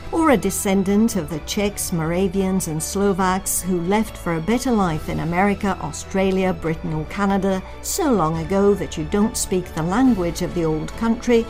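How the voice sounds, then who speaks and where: A woman announces the show in a polished, steady voice-over at a mid-range pitch. Faint music plays quietly beneath her. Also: normal speed, few pauses. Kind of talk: scripted promo voice-over.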